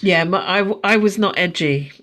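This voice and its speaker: A woman's voice: on the low side, moderate pace, fairly bright tone. A woman in her forties co-hosting a podcast, chatting casually, laughing often and swearing freely. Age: 40s. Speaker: podcast co-host. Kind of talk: casual chat.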